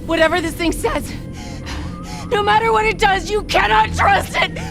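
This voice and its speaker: A woman's voice: very high-pitched, normal speed. A woman playing a resolute, caring military operative in a video game, earnest and commanding. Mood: desperate. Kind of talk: cinematic video game acting. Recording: loud music under the voice.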